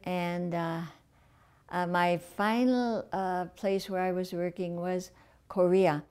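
A woman in her eighties from Milwaukee, a retired nun, speaking hesitantly with many pauses in an interview indoors. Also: fairly low pitch, fairly even intonation, darkish tone, clear, resonant tone. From Milwaukee, Wisconsin. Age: 80s. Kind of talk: documentary interview. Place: indoor room. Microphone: lapel.

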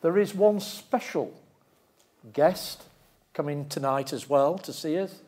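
A man's voice: on the high side, slow, darkish tone. A middle-aged man hosts an interview on stage. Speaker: interviewer, host. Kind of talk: onstage interview. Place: large lecture theatre stage, seated before an audience.

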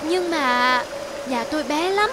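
A woman voicing a kind, protective mother snake in an animated fairy tale. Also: high-pitched, takes it slowly, heavy background noise. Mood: hesitant. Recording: music under the voice.

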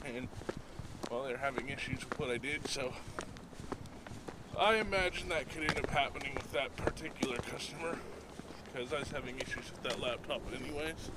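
A man in his thirties with a raspy voice, talking casually to a handheld camera while walking outdoors, rambling and self-deprecating. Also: mid-range voice, normal speed, very noisy. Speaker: vlogger. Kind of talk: casual daily vlog. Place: outdoors by a road and parking lots. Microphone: handheld camera at arm's length.

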